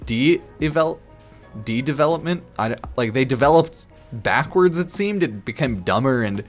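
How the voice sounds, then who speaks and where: Male game streamer, mid-pitched, loose tipsy rambling with crude slang, music underneath. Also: expressive. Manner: slightly tipsy, loose, halting and repetitive; informal, crude slang and swearing. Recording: music behind the voice. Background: background music.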